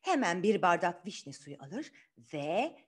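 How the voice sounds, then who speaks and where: A woman's voice: fairly low pitch, very animated, takes it slowly. A woman telling a children's fairy tale in a chatty, conversational style, often putting questions to the young listeners. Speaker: children's storyteller.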